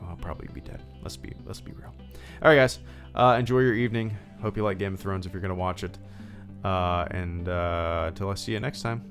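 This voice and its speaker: A man in his thirties hosting a casual livestream, rambling with lots of fillers and restarts and reading out thanks to viewers. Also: low voice. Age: 30s. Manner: reads out viewer thank-yous. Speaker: livestream host.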